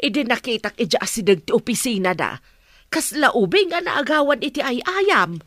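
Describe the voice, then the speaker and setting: A woman's voice: fairly high pitch, big pitch swings, normal speed, a bit bright, dry recording, studio quality. A woman acting an older, worried and meddling mother-in-law in a radio drama, with a Filipino accent. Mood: concerned.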